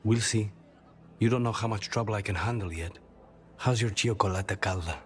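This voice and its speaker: A man's voice: fairly low pitch, moderate pace, lightly acted. An actor playing a brooding, guarded male assassin with an intense streak in a video game. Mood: soft, flirtatious.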